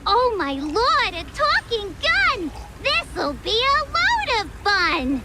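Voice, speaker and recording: Playful cartoon mouse for a game: very high, singsong, mocking rhymes, unhurried. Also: female voice, wildly swooping pitch, heavy background noise, full character voice. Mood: teasing. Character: teasing, playful female cartoon mouse who talks in rhymes. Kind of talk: cartoon video game voice acting. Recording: loud music behind voice.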